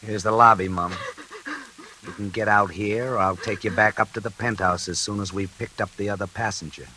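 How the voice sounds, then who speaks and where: An actor playing a cool, polite elevator operator with hidden vengeance in a 1940s radio suspense play, terse and deliberate. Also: male voice, lowish voice, fairly even intonation, bright, forceful tone, a little hiss or hum, rough recording. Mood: politely impassive. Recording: old radio recording.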